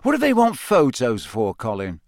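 A man's voice: mid-range voice, huge pitch swings, normal speed, no echo, studio quality. A man voicing a glum teenage boy with a heavy, dull, sarcastic delivery in an audiobook story. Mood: heavy, weary.

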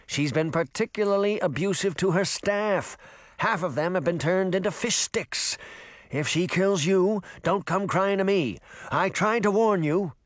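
A man's voice: high voice, unhurried, no echo, clean studio sound, voice pushed up. A man voicing a grouchy, sarcastic talking fish in a storybook, with a gurgling voice and blunt, complaining delivery. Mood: grim warning. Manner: gruff complaining, streetwise insults.

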